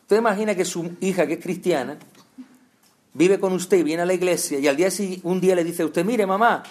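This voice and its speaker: A man's voice: sits high, fairly quick, a little mellow. A man from preaching a conversational sermon, talking straight to his listeners with rhetorical questions.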